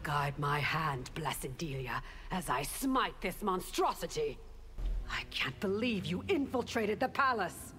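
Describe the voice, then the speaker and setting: An actress playing a solemn, righteous warrior woman in a video game, formal and reverent yet forceful, with an echoing sound. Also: fairly low pitch, expressive, measured pace. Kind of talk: video game fighter dialogue.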